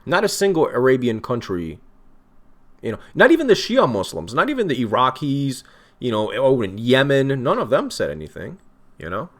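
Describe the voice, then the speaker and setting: A man's voice: medium pitch, moderate pace. A man from Iran hosting casual online chats, talking bluntly with lots of swearing. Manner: blunt, profane, animated. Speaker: host of online video chats. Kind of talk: casual debate chat.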